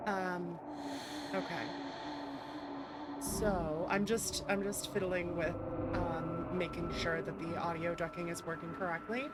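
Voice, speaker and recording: A woman's voice: on the low side, flat delivery, takes it slowly, very noisy. A woman hosting a livestream in a casual, chatty and playful way. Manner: playful, chatty, thanks and greets viewers. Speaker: livestream host, VTuber.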